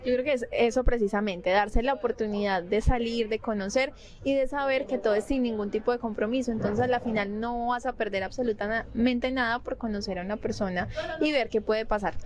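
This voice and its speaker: Female host, brisk and higher-pitched, affirming tone. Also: crowd noise. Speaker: interviewer. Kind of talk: hosting an interview.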